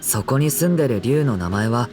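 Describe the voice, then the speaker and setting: A man's voice: mid-range voice, lively intonation, racing, darkish tone, natural voice. A man voicing a friendly, curious young companion in scripted fantasy game dialogue. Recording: game dialogue under clear music.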